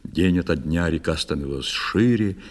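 A man narrating a poetic tale theatrically, in a measured, expressive storytelling manner. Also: sits low. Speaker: narrator of a children's musical audio play. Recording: long studio reverb, music underneath. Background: music.

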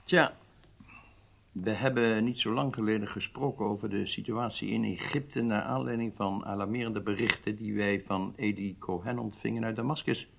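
An actor playing a grave older prime minister in a radio drama, with a gravelly, husky voice. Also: male voice, fairly low pitch, fairly even intonation, normal speed, fairly bright tone, a little hiss or hum. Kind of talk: Dutch radio drama acting.

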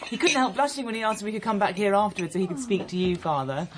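An actress playing an excitable, teasing young woman not yet twenty in a radio drama, coy and eager. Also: medium pitch, quick pace, natural voice. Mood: excited, proud. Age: young adult. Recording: clearly noisy recording.

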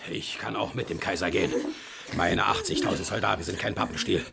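A man's voice: low voice, fairly even intonation, brisk, vocal fry, a loud crowd. An actor playing a tyrannical, choleric Prussian king in a radio play, blustering and bellowing. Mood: threatening. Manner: blustering, bellowing, swinging to cold menace.

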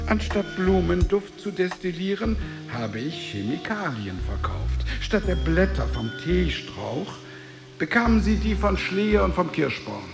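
A man playing a tormented, world-weary merchant full of guilt and longing for death, in solemn, deliberate radio drama delivery. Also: fairly high pitch, gravelly, echoey room, full character voice. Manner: grave, deliberate, declamatory delivery.

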